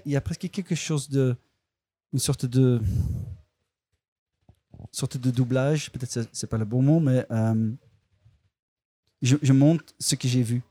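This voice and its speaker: A man's voice: medium pitch, dark tone, no room sound. A filmmaker, a man speaking a language that is not his first, answers interview questions hesitantly, restarting and searching for words. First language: not French.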